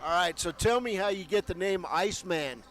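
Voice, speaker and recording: A man's voice: sits high, moderate pace, fairly bright tone. A man hosting a radio pregame show from courtside, chatting upbeat with a guest. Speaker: radio sports broadcaster. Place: courtside in a gym.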